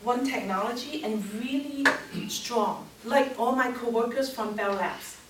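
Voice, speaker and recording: A woman's voice: on the high side, unhurried, background noise. A woman in her fifties from Hong Kong, with a Cantonese accent, giving a workshop talk and engaging her audience with questions. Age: 50s. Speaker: workshop speaker. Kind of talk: live seminar talk. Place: seminar room. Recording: echoey room, mic at a distance.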